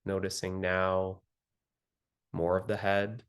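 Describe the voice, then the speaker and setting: A man with a fairly low voice guides a meditation. He speaks very slowly, in short phrases with long pauses between them. His manner is gentle and soothing throughout. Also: monotone, smooth.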